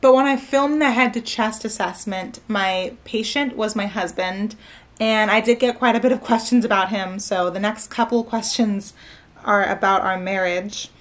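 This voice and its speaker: A woman's voice: mid-range voice, moderate pace. A woman in her late twenties, a nursing student from Maryland, chatting casually to camera at home at night. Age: 20s. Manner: relaxed, chatty, frequent "like" and "so". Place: living room floor at home, indoors. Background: faint room ambience, heater hum.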